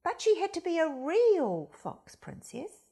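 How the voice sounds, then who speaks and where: A woman reading a children's story aloud in a dramatic, deliberate way, with hushed emphasis. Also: very high-pitched, wildly swooping pitch.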